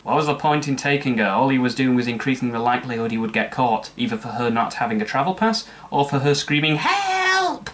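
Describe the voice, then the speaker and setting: A man's voice: medium pitch, quick pace, few pauses, slight background noise. A man narrating a comedic scripted film review with sarcastic, mocking asides. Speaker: movie reviewer.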